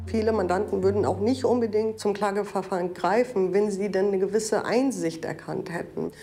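A woman speaks as a legal expert in an interview. Her voice is dark and mellow at a middle pitch, and she explains in a measured way. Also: faint music behind. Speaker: lawyer being interviewed.

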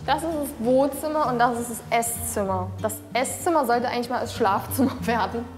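A woman of twenty-nine talks in a chatty, self-mocking way, her voice sitting a touch high. Music plays quietly under her. The tone is casual and unscripted. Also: brisk. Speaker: reality documentary participant. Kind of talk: casual chat. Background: background music.

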